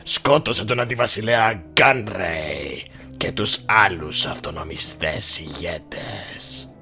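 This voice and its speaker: A sinister old Sith lord speaks in a low, gravelly croak with a hard, cutting edge. He is a manipulative, commanding master in a dramatic film dub, deliberate and menacing over music. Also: pushed and bright. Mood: commanding, scheming.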